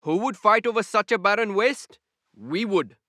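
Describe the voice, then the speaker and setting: A man playing an earnest, steadfast soldier in a war game, measured and instructive with his brothers-in-arms. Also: high voice, sharp tone, no echo, studio quality. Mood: resolute. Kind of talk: video game unit voice lines.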